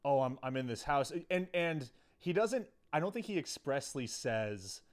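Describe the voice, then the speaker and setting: Clear-voiced man, casual podcast banter. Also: medium pitch, big pitch swings, a little slow. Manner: wry, profane banter. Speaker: podcast co-host. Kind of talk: casual recap chat. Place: studio.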